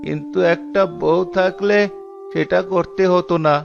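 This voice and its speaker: Man as a slow, creaky old beggar. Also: sits high, fairly even intonation, highs cut off, over music. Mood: persuading. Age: older. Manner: slow, pleading, drawn-out speech. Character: frail old male beggar, pleading, slow, shrewd. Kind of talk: Bengali cartoon voice acting.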